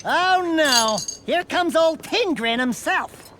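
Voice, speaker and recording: A man's voice: very high voice, animated, background noise, exaggerated voice. A man voicing a small, wisecracking, cowardly sidekick creature in a video game, brash and exclamatory. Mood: indignant. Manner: mouthy, fast-changing, exclamatory. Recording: game dialogue over music.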